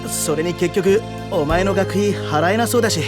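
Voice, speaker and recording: A woman's voice: on the low side, normal speed, music in the background, natural voice. A woman playing a sincere, spirited boy in an anime-style audio drama, with an earnest boyish manner. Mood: apologetic. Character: sincere, spirited baseball-loving boy, a protective older brother.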